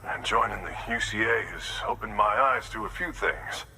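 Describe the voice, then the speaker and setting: A man's voice: on the low side, moderate pace, creaky, background noise. An actor voicing a friendly man in the mountains who passes along a request in an easygoing, wry, chatty way. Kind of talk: video game cutscene dialogue.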